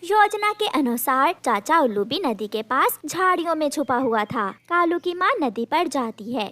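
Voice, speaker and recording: Woman storyteller for a cartoon, high voice, really lively intonation, dry recording. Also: normal speed, no echo. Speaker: narrator. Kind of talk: scripted cartoon story narration.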